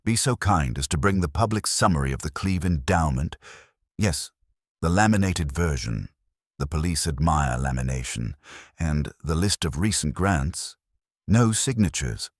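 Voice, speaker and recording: A man's voice: extremely low pitch, lively intonation, a little slow, dry recording, clean studio sound, full character voice. A man playing a cautious, wryly pompous small-town solicitor in an audio drama, formal and fussily precise. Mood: formal, instructing. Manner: formal, fussy, precise wording.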